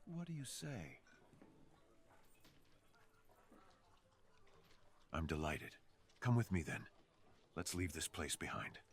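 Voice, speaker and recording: A man voicing a brooding, seductive fox assassin in an intimate ASMR roleplay, speaking slowly and earnestly with dramatic pauses. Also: low voice, wildly swooping pitch, husky, exaggerated voice. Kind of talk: ASMR roleplay, slow intimate scripted monologue.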